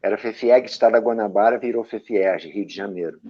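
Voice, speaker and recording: Animated Brazilian man telling stories. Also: on the low side, brisk, breathy edge. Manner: lively anecdotal, frequent "né?" tags. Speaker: actor and director, guest. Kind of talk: storytelling interview answers. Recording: dull lo-fi audio.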